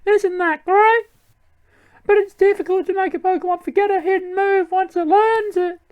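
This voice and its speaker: A man voicing a friendly young woman game character in a strained falsetto, reading her dialogue aloud. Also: fairly even intonation, normal speed, phone-quality sound. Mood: helpful.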